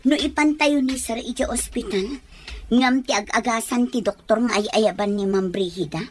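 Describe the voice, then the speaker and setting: Radio drama: woman playing jittery, evasive female servant, Filipino accent, high voice, stammering delivery. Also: moderate pace, slight background noise, lightly acted. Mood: worried. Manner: hesitant, stammering. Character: nervous, evasive young female house servant. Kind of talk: Ilocano radio drama acting.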